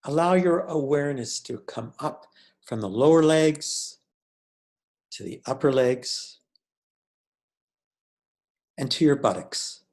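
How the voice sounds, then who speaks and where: A man leading a guided meditation as a teacher, speaking in a measured, deliberate way with pauses between phrases. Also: mid-range voice, animated, a little mellow.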